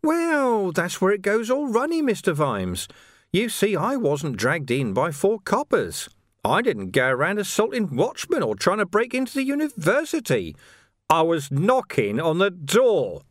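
A man's voice: high voice, huge pitch swings, unhurried, no room sound, studio quality, pitched above the performer's own voice, full character voice. A man voicing a sly, cheerful male criminal who mocks and wheedles, laughing often. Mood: mocking.

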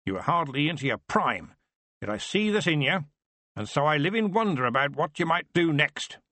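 A man voicing a grand, bluff old wizard chancellor, brusque and booming. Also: very animated, moderate pace, bright, forceful tone, no echo, heavily acted. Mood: solemn.

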